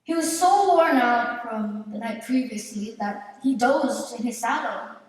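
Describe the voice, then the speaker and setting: A woman playing a ghostly storyteller, narrating a dark folk legend on stage with dramatic delivery. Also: a little high, expressive, measured pace. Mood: ominous. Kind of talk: scripted theatrical narration. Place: large indoor theater stage, distant camera. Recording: echoey theater stage from far off.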